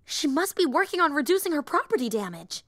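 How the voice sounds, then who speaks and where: A woman's voice: high-pitched, big pitch swings, fast, fairly bright tone, no echo, studio quality. A woman voicing a young, nerdy female hero fan and teacher in an audio roleplay, eager, rambling and easily flustered. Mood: earnest, rambling.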